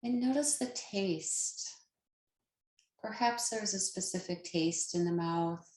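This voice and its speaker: Slow-paced woman guiding meditation, roomy sound. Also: mid-range voice. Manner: calm, gentle, unhurried with long pauses. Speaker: meditation guide, yoga teacher. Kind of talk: guided meditation narration.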